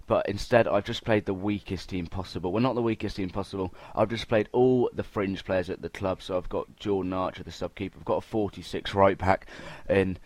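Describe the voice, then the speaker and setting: A man's voice: sits low, a little mellow, dry recording. A young man giving casual, chatty gameplay commentary, talking informally straight to his viewers. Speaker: gaming video host.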